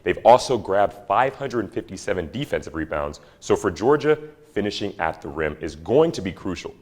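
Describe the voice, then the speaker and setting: A young man with a very low voice breaks down the matchup in a measured, emphatic way. It is scripted analysis for a student sports show. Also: sing-song. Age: young adult.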